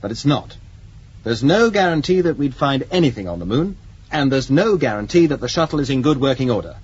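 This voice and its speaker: An actor playing a dutiful, cautious young spaceship commander in a radio drama, firm, clipped and authoritative. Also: male voice, medium pitch, sing-song, brisk, darkish tone, breathy edge. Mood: firm, anxious. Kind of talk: 1980s radio drama acting. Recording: dull old radio recording.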